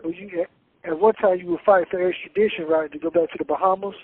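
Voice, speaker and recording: A man's voice: sits high, steady tone, fast talker, dry recording. A man hosting an interview show from inside a prison, speaking in a formal, solemn way with activist phrasing. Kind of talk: scripted intro then interviewing. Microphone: prison phone call. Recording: tinny phone line.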